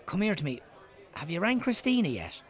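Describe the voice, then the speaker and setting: Man acting as an Irish publican, higher-pitched, animated. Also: brisk. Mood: nagging. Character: teasing but cautious Irish pub landlord. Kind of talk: naturalistic radio drama acting.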